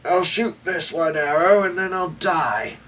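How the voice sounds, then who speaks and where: A man's voice: sits high, a little slow, fairly bright tone, pitched above the performer's own voice. A man voicing an old dying knight, defiant and grumbling, in a declamatory storybook reading. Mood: defiant commanding. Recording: distant mic with noticeable background noise.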